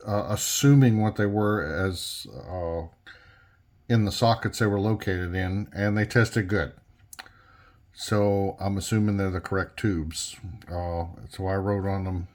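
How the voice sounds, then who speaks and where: Man, lowish voice, hobbyist restorer, off-the-cuff repair walkthrough, halting, thinks out loud. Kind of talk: unscripted workbench walkthrough.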